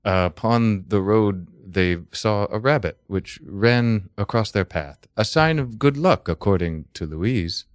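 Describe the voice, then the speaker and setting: A man narrating an improvised mock-literary comedy story in a solemn, deliberate, halting way. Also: fairly low pitch, expressive, dry recording, studio quality.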